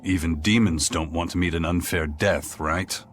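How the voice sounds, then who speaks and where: An actor playing a grim, fatalistic pirate in a video game, calm and measured, with a blunt, ominous delivery. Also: male voice, deep voice, music in the background, natural voice. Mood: grave warning. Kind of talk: English video game voice acting.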